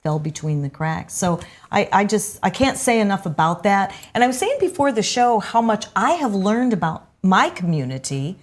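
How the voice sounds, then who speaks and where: A middle-aged woman hosting a local television talk show, chatting with guests in a studio and gushing with praise. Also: mid-range voice. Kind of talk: casual studio interview chat.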